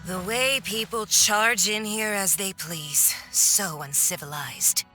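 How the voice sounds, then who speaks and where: A woman's voice: mid-range voice, slow, bright, forceful tone, faint music behind. A woman playing a haughty, mocking villainous diplomat in a video game, with a condescending, theatrical drawl. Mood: disdainful. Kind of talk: video game cutscene voice acting.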